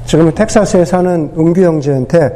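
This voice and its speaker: A man preaching a sermon as pastor, his voice hoarse from a cold, talking unhurriedly with many pauses and fillers. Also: a little high, mellow.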